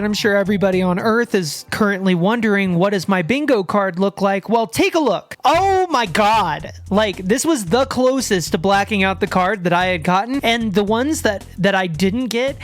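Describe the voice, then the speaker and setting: A man hosting a horror video, talking excitedly with stammered restarts and sudden outbursts as he loses his voice. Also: high-pitched. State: losing his voice. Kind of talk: enthusiastic solo video commentary.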